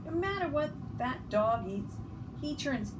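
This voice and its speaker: Lady reading as a stern annoyed storybook dad, loud and emphatic, kinda high pitched, noisy recording. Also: lively intonation, unhurried. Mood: roaring. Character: exasperated, stern father fed up with his dog.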